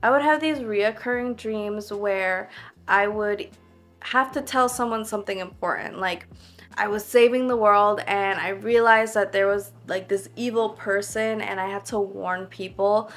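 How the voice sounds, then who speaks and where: A woman's voice: mid-range voice, fairly even intonation, a little slow, a bit bright, faint music behind. A young woman vlogging to camera in a casual, reflective way, earnest and full of "like" fillers. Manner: earnest, heavy use of "like" fillers.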